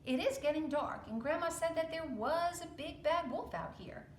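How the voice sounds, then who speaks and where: A woman voicing the littlest male pig in a storybook tale, with a squeaky, pleading voice. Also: normal speed, reverberant, a little hiss or hum, natural voice. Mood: carefree. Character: littlest male pig, carefree then frightened.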